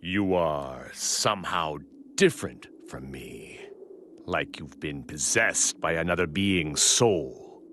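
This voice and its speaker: A man's voice: very deep voice, slow, a little hiss or hum, heavily acted. A man playing an arrogant, menacing insect android in a video game, suave, smug and theatrical. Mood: smug, curious. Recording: loud music behind. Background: loud game music.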